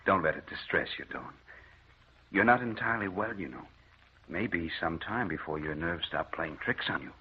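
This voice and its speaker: Low-voiced concerned husband. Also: male voice, animated, normal speed, breathy edge, exaggerated voice. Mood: soothing. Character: concerned, patronizing husband of a nervous woman. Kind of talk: old-time radio drama acting. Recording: muffled, noisy old radio recording.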